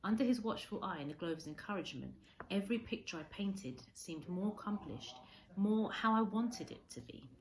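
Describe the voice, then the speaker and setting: A woman reading a storybook aloud as a teacher would, expressively, with measured dramatic emphasis. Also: mid-range voice, expressive, rough recording.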